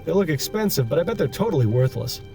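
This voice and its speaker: A dry, mocking character in a video game, sneering at stuffy portraits and knickknacks. His voice sits high and his tone stays playfully snide. Game music plays clearly underneath. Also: sing-song, fast, dark tone. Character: sardonic male inner voice mocking portraits.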